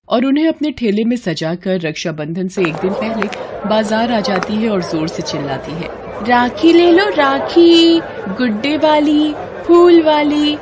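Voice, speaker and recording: A woman's voice: fairly low pitch, background noise. A woman storyteller reading a scripted story as narration.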